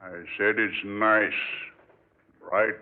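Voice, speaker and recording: An actor playing a mysterious, sly aged hitchhiker in an old radio drama, terse and cryptic in manner. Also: male voice, lowish voice, level tone, extremely slow. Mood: sly, knowing. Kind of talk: 1940s radio drama acting. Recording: tinny old radio recording.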